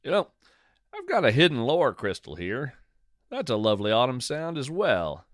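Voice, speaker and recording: A man's voice: medium pitch, huge pitch swings, moderate pace, no echo. A man hosting a fiction podcast as a whimsical, folksy storyteller, musing conversationally close to the microphone. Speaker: podcast host and narrator.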